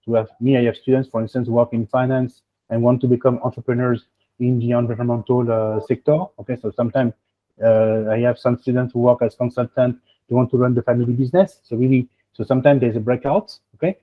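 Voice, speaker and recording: A man's voice: medium pitch, little pitch movement, fast talker. A man with a French accent coaching applicants in a webinar, rambling and checking in with "okay?" as he goes. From France. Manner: rambling, peppers speech with "okay?" and "huh?". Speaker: admissions coach hosting a webinar. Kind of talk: advice in an online webinar. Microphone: video call. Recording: tinny phone-like video call audio.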